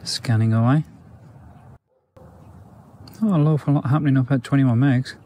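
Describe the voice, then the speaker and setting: A man's voice: medium pitch, normal speed, dark tone. A man giving a casual, hands-on product review in an understated, matter-of-fact and candid way.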